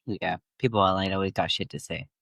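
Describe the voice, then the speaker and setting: A man's voice: low voice, steady tone, brisk, gravelly, fairly bright tone, dry recording. A man co-hosting a podcast, blunt and casually swearing. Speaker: podcast host. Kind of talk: casual interview chat.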